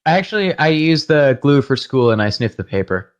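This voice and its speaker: A man's voice: mid-range voice, big pitch swings, normal speed, clean tone, lo-fi sound. A man in casual joking banter with friends, playfully reading out lines in a mock-dramatic way.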